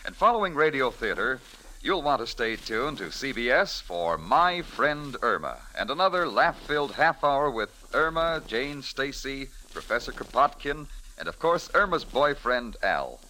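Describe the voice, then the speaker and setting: A man's voice: medium pitch, very animated, unhurried, pushed and bright, slight background noise, low-quality recording. An American man announcing on 1940s radio, reading scripted promotions in a polished, emphatic manner.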